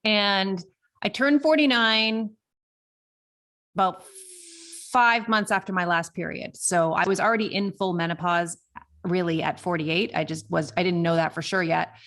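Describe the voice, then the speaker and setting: A woman's voice: fairly low pitch, fairly even intonation, unhurried, a bit bright. A woman of fifty talking candidly and conversationally in a reflective solo podcast, with emphasis on key words. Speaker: podcast host.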